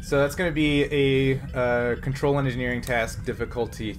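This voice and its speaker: A man acting as game master of a casual tabletop game, explaining things in a halting way. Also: medium pitch, steady tone, soft background music. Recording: clearly noisy room ambience.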